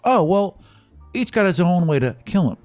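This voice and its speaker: A man plays a little girl from a dream, pitched up and lightly teasing. The child speaks breezily and cryptically, as if casual about godly matters. The tabletop game recording is dry, with faint music. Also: very animated, normal speed, a little mellow, dry recording. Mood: matter-of-fact.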